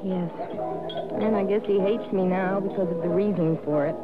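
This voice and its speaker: A woman's voice: mid-range voice, steady tone, moderate pace, phone-quality sound, close to an everyday voice. An actress playing an anxious, earnest young woman in a 1960s radio drama, with a fretful manner. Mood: wistful, fretting. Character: anxious young woman, investigator's sweet date. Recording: thin old radio recording with music.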